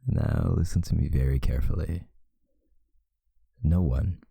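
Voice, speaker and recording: A man playing a tender, protective vampire lover in an intimate ASMR roleplay, speaking in a husky, hushed voice with deliberate pauses. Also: dry recording, clean studio sound, exaggerated voice. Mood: grave warning. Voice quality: very deep, gravelly, husky, breathy, often near a whisper.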